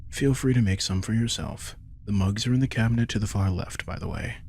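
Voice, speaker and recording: A man's voice: fairly low pitch, brisk, vocal fry, a little mellow, slight background noise. A man playing a sardonic, laid-back vampire king in an intimate audio roleplay, teasing and manipulative as he speaks casually to the listener. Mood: coaxing, smug. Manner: laid-back, wry, teasing, informal swearing.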